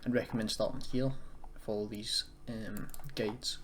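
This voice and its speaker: A man with a fairly low voice talks through a software tutorial in a casual, unscripted manner. He is hesitant as he explains each step. Light noise and some keyboard typing sit behind him. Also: level tone, unhurried. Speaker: tutorial presenter. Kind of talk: casual screen-recorded tutorial. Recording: noisy home recording, keyboard typing.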